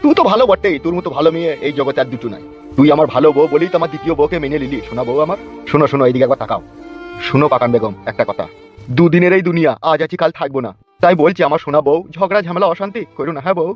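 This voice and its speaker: Casting: male actor as wheedling, coarse cartoon husband, very fast delivery, muffled audio with music. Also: a little high, lightly acted. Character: bickering, sweet-talking two-timing husband, foul-mouthed. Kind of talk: comic cartoon dialogue. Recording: muffled narrow-band audio over music.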